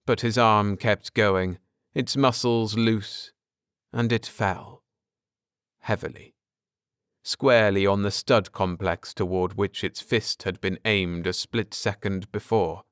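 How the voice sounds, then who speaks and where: A man with a fairly low, smooth voice narrates a science fiction story. His reading is very slow and theatrical, weighting phrases for drama. The sound is dry and close. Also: steady tone, fairly bright tone, dry recording. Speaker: audiobook narrator.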